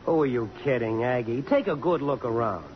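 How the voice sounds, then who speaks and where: An actor playing a sardonic, needling magazine reporter in a radio drama, dry, blunt and wisecracking. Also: male voice, mid-range voice, lively intonation, a little fast, natural voice. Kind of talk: 1950s radio drama acting. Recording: dull old radio broadcast, music.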